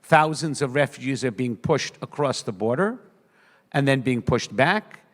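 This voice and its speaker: A man with a New York accent, a rabbi giving an earnest, measured conference speech with frequent hesitations. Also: mid-range voice, lively intonation, big-room sound. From United States. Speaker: rabbi speaking on a panel.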